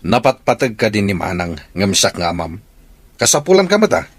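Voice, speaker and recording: A man's voice: lowish voice, very animated, moderate pace, dry recording. A man playing a stern, controlling middle-aged father in a radio drama, speaking firmly. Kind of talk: Ilocano radio drama acting.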